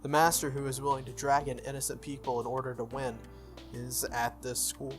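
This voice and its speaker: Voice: medium pitch, little pitch movement, brisk. A let's-play host reading a visual novel aloud and adding offhand, chatty commentary. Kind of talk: scripted reading with casual commentary. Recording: music under the voice. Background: soft game music.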